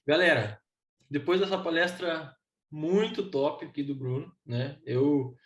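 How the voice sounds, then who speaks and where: A Brazilian man hosts a live event in a slightly raised pitch. He is informal and enthusiastic, talking to the audience in a casual way. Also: measured pace. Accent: Brazilian Portuguese. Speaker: event host.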